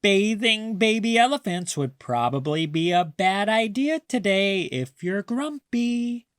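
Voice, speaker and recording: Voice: mid-range voice, lively intonation, measured pace, no room sound, clean studio sound, voice pushed up, natural voice. A reader voicing a playful, teasing grandmother in a children's storybook, with a mock-serious, coaxing manner.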